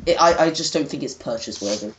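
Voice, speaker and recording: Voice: mid-range voice, expressive, fast, over a noisy crowd. A young adult co-host chatting casually and off the cuff about things, hesitant and rambling, often repeating words. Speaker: co-host of a gaming retrospective. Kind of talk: casual unscripted chat.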